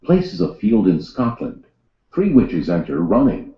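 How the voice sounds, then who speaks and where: Guy narrating stage directions, deep and slow, bit of room echo. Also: wildly swooping pitch. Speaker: play narrator. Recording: roomy echo, slightly dull sound.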